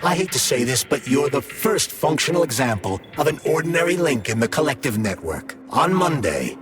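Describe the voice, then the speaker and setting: An actor playing a male consciousness trapped in a polymer glove, speaking smoothly and gravely. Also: mid-range voice, sing-song, moderate pace. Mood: earnest. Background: music.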